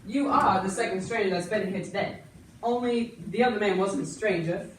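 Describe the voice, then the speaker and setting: A woman's voice: medium pitch, normal speed, natural voice. A woman acting a chatty, folksy, matter-of-fact frontier shopkeeper in an amateur stage play. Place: indoor school stage. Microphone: distant audience camera. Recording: echoey noisy hall from far off.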